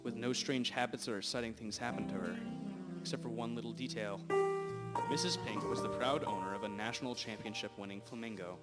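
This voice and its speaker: A man's voice: mid-range voice, a little fast. A man narrating a scripted comic radio drama in a mock-dramatic storytelling manner. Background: music.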